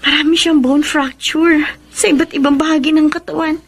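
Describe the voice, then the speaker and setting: High-voiced wounded young Filipina. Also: female voice, fairly even intonation, normal speed. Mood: subdued, grieving. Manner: emotive, plaintive delivery. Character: Clarita, hurt but kind-hearted young woman, betrayed sweetheart. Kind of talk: Filipino radio romance drama acting.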